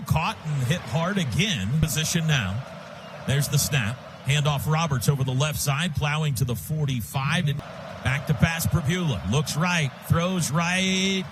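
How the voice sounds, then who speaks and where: An American man working as a radio play-by-play announcer, calling live football in clipped phrases and getting excitable on big plays. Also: on the high side. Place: stadium broadcast booth. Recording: clearly noisy live stadium radio broadcast.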